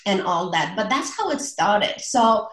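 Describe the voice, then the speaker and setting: A woman in her forties with a Romanian accent, a relationship coach speaking earnestly in a casual interview, often pausing on fillers. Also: medium pitch, big pitch swings, reverberant.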